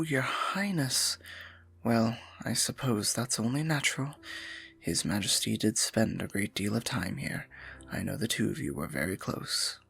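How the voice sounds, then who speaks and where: A man's voice: on the low side, vocal fry, a bit bright, breathy edge, full character voice. A man playing a scheming royal advisor in a fantasy audio roleplay, silky, condescending and falsely sympathetic, often dropping to a murmur. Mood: feigned sympathy. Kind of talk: fantasy ASMR roleplay acting, intimate and theatrical.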